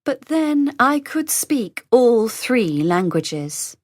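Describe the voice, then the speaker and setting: A woman reading a scripted conversation for English learners, saying each line slowly and carefully, then repeating it. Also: a little high, very animated, dry recording, clean studio sound. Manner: slow, carefully enunciated, lines read three times over. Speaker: dialogue reader for English learners.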